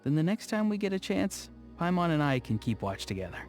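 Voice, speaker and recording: A man voicing an awkward, earnest young video game character, speaking in a low-key, hesitant way. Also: big pitch swings, fairly quick, darkish tone. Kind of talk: scripted video game dialogue. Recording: music behind the voice. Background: faint background music.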